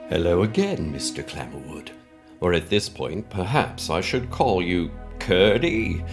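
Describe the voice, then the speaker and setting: A man acts out a mysterious, self-important male benefactor in a scripted comedy. The voice is low and swings widely in pitch. He speaks in wordy, theatrical sentences full of dramatic pauses, with music playing underneath. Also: sing-song, exaggerated voice. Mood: grandiose, secretive. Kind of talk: scripted comic monologue.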